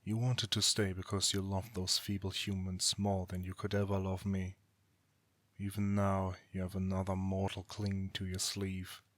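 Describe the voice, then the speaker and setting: Man acting a proud, cold, low-voiced god. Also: monotone, no room sound, close to an everyday voice. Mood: indignant. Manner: formal, haughty, measured rhetoric. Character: proud, cold, disdainful male god, estranged brother. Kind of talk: scripted audio roleplay drama acting.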